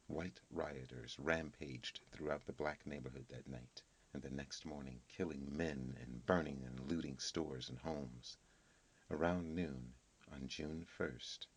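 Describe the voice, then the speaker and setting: Very deep, husky man narrating. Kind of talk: scripted narration of an encyclopedia article.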